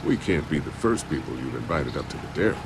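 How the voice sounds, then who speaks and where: An actor playing a steady, earnest survivor in a video game, speaking in a measured, protective way. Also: male voice, extremely low pitch, noisy background. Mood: businesslike.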